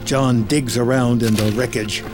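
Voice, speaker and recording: A man reads scripted film narration, giving present-tense stage directions in a dramatic, deliberate delivery. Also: medium pitch, fairly even intonation, over music. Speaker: screenplay narrator.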